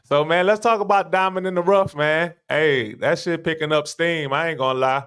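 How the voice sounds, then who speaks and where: A male podcast host with a smooth, resonant voice. He keeps the chat loose and slangy and hypes up his guest as he asks questions. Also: a little high, normal speed. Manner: relaxed, slangy, hype questions. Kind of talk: casual interview chat.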